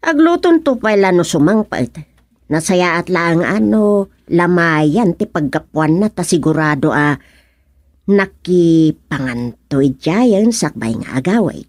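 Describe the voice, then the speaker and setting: A woman's voice: on the low side, expressive, slow, darkish tone, no echo. A gossipy village woman from a radio comedy-drama. She has an Ilocano accent and takes her time over the words. Her melody rises and falls widely, and the recording is very dry. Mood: chatty.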